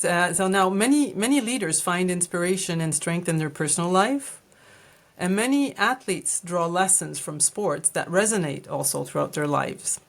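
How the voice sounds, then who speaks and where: A woman hosting an interview, summing up the guest's points in a calm, measured way. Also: fairly low pitch. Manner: attentive, measured, frequent brief backchannels. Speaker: podcast host. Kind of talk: interviewing, reflective summaries.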